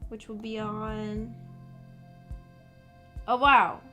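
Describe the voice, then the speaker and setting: A woman's voice: a little high, little pitch movement, extremely slow, sharp tone. A young adult American woman chatting casually with viewers on a livestream, often hesitant and thinking aloud as she goes. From United States. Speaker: live streamer, visual novel book club host. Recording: background music under the voice. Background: background music.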